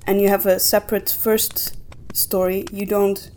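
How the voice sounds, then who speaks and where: A woman with a medium-pitched voice talks casually as a podcast co-host. She feels her way through opinions in halting phrases, thinking aloud. There is some noise behind her voice. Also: level tone, warm tone. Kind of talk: casual book discussion.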